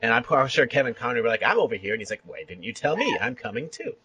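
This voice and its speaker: Gravelly, bright-voiced male podcast host. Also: mid-range voice, huge pitch swings. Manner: enthusiastic, rambling, quick to laugh. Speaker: podcast host interviewing a guest. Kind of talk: casual fan chat interview.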